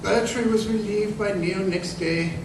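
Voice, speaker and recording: A man playing an aging, crippled, humble ex-soldier turned conjurer in a radio drama, with halting, rustic, impassioned speech. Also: high voice, fairly even intonation. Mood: grim, recounting. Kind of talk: old-time radio drama acting. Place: live performance in a museum. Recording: roomy, distant, noisy live stage recording.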